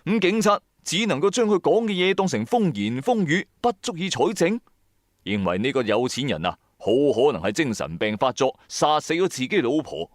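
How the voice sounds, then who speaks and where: A man's voice: on the high side, very animated, normal speed, no room sound, clean studio sound. A man with a Cantonese accent narrates a first-person ghost story in a colloquial, dramatic, suspenseful way. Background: music.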